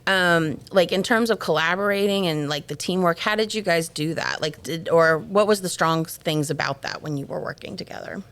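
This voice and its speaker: A woman's voice: fairly low pitch, moderate pace, hardly any pauses, fairly bright tone. Casual woman teacher hosting a chat. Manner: informal, encouraging, prompts with questions. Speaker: teacher hosting a school podcast. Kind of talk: casual conversational interview.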